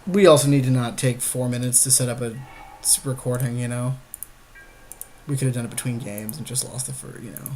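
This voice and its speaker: A man's voice: medium pitch, steady tone, a little fast, a little mellow, faint music behind. A man co-commentating on an online card game in a casual, informal way, often with brief replies. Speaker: podcast co-host. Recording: noisy amateur screen-capture recording.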